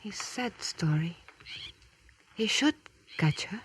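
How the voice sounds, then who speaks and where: Slow, husky, lovelorn young Native woman. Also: mid-range voice, animated, heavily acted. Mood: solemn, tender. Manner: halting, simplified English delivered slowly. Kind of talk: 1950s radio drama acting.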